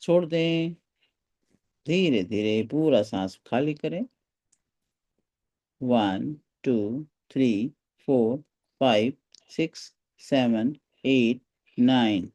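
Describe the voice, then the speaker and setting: A meditation guide speaking gently and without hurry, leaving pauses between short phrases of calm instruction. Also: mid-range voice.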